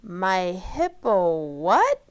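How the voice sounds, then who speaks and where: Woman acting young schoolgirl, questioning, easily upset, very animated, clear voice, storybook character. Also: fairly low pitch, very slow, heavily acted. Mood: puzzled. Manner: exclaiming, questioning.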